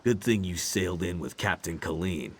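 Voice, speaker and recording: A man playing a gruff, hostile male orc guard in a fantasy video game, speaking bluntly and menacingly in a rough voice. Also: normal speed, slight background noise, close to an everyday voice. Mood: stern warning. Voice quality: husky, rough low voice.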